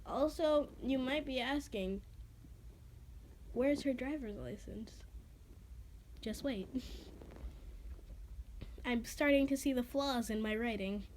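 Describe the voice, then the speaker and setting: A woman's voice: high voice, takes it slowly, pauses often, a little hiss or hum, poor audio. A woman reading her own story dramatically, stumbling over words and adding casual, self-deprecating asides. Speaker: amateur reader of own creative writing.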